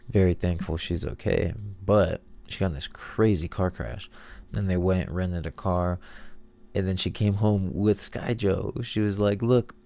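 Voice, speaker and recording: A man's voice: sits low, fairly even intonation, measured pace, creaky, warm tone. A young man with a stuffed-up, nasal voice, rambling in a laid-back, slangy way as he comments over his own video. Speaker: video host. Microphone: headphones monitoring, voice-over mic.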